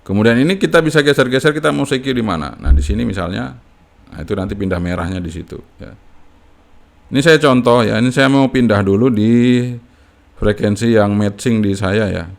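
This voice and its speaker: A man's voice: fairly low pitch, expressive, brisk, gravelly. A man giving a casual, unscripted step-by-step tutorial as a hobbyist, in an informal manner with frequent checking tags.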